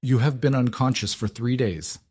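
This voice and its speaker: A man voicing a guarded, cynical young noblewoman who talks briskly and erupts in fury. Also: mid-range voice, darkish tone, breathy edge, voice pushed up, close to an everyday voice. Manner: brisk and clipped, breaking into furious outbursts.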